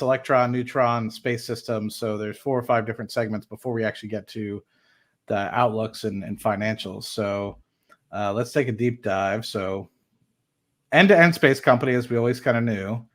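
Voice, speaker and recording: A man's voice: medium pitch, moderate pace. A man hosting a livestream, talking informally and thinking aloud as he reads through documents and comments on them. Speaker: host of an investing livestream. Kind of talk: casual live commentary while reading documents.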